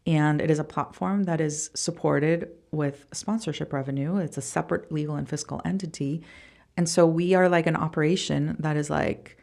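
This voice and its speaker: A woman from Costa Rica speaking as a podcast guest in a casual, halting, emphatic interview style. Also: sits low, steady tone, creaky, darkish tone. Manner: informal, halting, emphatic with repeated words. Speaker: podcast guest, venture fund founder.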